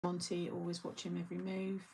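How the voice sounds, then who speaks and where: A woman's voice: fairly low pitch, monotone, a little fast, gravelly, dark tone, slight background noise. A middle-aged woman hosting a craft channel, chatting casually and rambling through asides with frequent laughter. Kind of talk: casual live chat.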